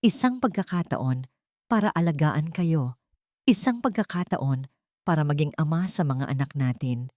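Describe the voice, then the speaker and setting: A woman's voice: sits low, animated, normal speed, a little mellow, no room sound, studio quality. A Filipino-accented woman voicing a remorseful man who earnestly pleads for forgiveness in a dramatic story. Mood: pleading.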